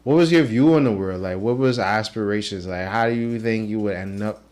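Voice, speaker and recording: A man's voice: lowish voice, a little fast, darkish tone. A man hosting a podcast, asking loose, rambling questions with many fillers. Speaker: podcast host. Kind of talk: casual interview questions.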